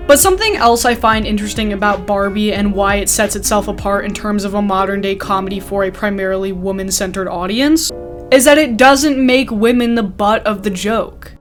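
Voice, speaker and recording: A young woman hosting a scripted commentary video, opinionated with sardonic asides. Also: mid-range voice. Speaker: video essayist host.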